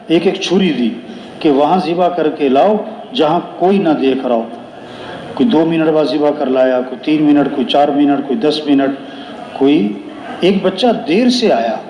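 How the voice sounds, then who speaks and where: Echoey, high-pitched male preacher. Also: mellow. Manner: repeats phrases for emphasis; rhetorical question and answer. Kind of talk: sermon with moral counsel and storytelling. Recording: echoey, reverberant room sound.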